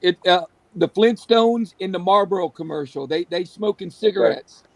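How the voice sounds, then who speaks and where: A man's voice: high-pitched, normal speed, no room sound, low-quality recording. A man chatting casually on a group call, slangy, profane and amused. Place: group voice call, close and dry.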